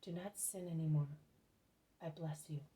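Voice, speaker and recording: Lady reading a calm loving master, low and soft, mellow. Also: measured pace. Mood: gentle. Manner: low, gentle and commanding. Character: calm, loving, authoritative male teacher.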